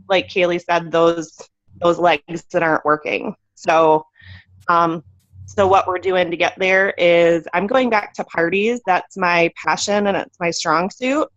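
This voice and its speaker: A woman's voice: fairly low pitch, steady tone, unhurried, fairly bright tone, no room sound. A woman chatting on a video call from an odd corner of her home, upbeat, with lots of fillers and laughs. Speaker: team leader on a call. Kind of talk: casual video call chat. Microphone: computer mic on a video call. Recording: dull, lo-fi call audio.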